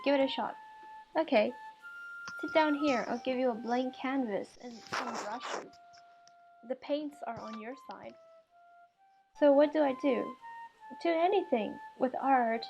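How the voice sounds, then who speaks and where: A woman reading out the dialogue of a self-doubting husband and his encouraging wife from a visual novel, gently and with understatement. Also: fairly high pitch, lo-fi sound. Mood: gentle banter.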